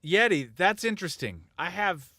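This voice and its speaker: A man's voice: high-pitched, big pitch swings, normal speed, pushed and bright, dry recording. A man talking shop as a music producer in a casual studio chat, blunt and rambling, with frequent chuckles.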